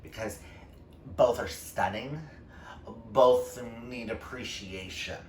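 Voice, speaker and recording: A man chatting casually about books as a vlogger, gushing and emphatic with dramatic pauses. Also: medium pitch. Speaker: book reviewer vlogger, reading challenge co-host. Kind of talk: casual book vlog chat. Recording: roomy, distant mic, faint noise.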